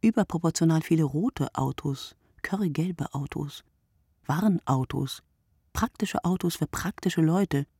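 An actress playing a weary, observant woman in a radio play monologue, speaking in measured, short phrases with a husky, breathy voice that often drops to near whisper. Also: deep voice, dark tone, no room sound, studio quality, full character voice. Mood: reflective. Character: weary, observant woman watching early-morning street from her window. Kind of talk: literary radio play monologue, scripted narration.

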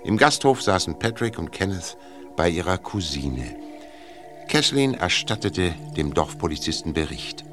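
Wry mystery narrator, deep voice, lively intonation, scripted radio drama storytelling, light music underneath. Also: male voice, unhurried, exaggerated voice. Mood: measured narration.

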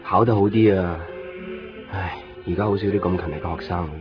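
Low-voiced young Hong Kong man acted, muffled. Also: steady tone, brisk, music in the background, natural voice. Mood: easygoing, concerned. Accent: Hong Kong Cantonese. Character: easygoing, kindly young male copy shop keeper, narrator. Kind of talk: radio drama acting with narration. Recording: muffled 1990s radio drama recording.

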